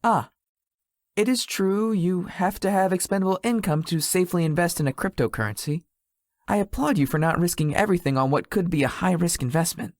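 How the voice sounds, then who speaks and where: A woman's voice: on the low side, fairly quick, darkish tone, no room sound, clean studio sound, natural voice. A woman voicing a shy, polite young male tech executive in a visual novel, slightly formal and hesitant in manner. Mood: earnest, mild. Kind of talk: visual novel character dialogue.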